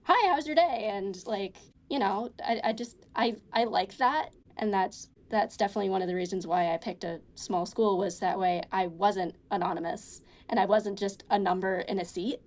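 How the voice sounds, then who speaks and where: A young woman in college talks through her experiences in a loose, rambling way. She fills her sentences with "like" and "um" and often starts them over. Her pitch sits right in the middle for a woman. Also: normal speed, few pauses, darkish tone. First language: English. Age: young adult. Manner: rambling, many fillers and restarts. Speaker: college student guest. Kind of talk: casual conversation.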